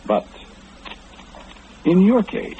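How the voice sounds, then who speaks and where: An actor playing a smug, impatient, all-knowing businessman in an old-time radio horror play, with fussy, precise diction and a pompous manner. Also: drawn-out words. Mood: ominous, knowing. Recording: muffled, noisy old-time radio recording.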